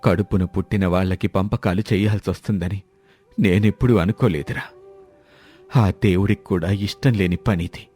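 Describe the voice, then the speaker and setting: A man's voice: low voice, steady tone, normal speed, darkish tone, slightly rough, soft background music. A man playing a grave, sorrowful old father who heads a village family in a moral story. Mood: grave, hurt. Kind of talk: moral story character dialogue.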